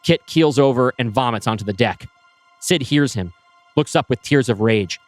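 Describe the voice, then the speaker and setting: A man's voice: medium pitch, lively intonation, dry recording. Man narrating a screenplay with music. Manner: brisk scene-setting narration. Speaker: writer pitching a screenplay. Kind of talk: reading a script aloud.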